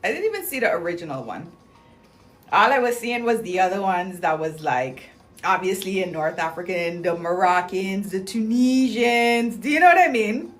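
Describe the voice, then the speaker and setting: A woman's voice: mid-range voice, lively intonation, normal speed, faint music behind. A young woman hosting a casual livestream, chatting in a bubbly, slangy way with lots of "like" and "um" as she reads viewer comments. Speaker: livestream host promoting clinic deals.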